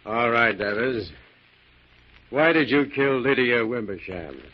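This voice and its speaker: A man playing a brisk police inspector questioning a suspect in a 1940s radio crime drama. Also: mid-range voice, lively intonation, noisy background. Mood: brisk, stern. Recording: muffled 1940s radio recording.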